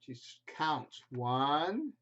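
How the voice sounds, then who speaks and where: A man reading a picture book aloud to young children on camera, adding gentle explanatory asides. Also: a little high, very animated, drawn-out words. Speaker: children's storyteller. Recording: slightly muffled home video audio.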